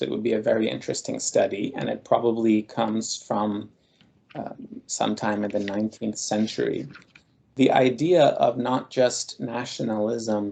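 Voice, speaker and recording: A man speaking as a guest scholar in an interview, explaining at length in a measured, thoughtful, lecturing way. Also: lowish voice, vocal fry, dark tone.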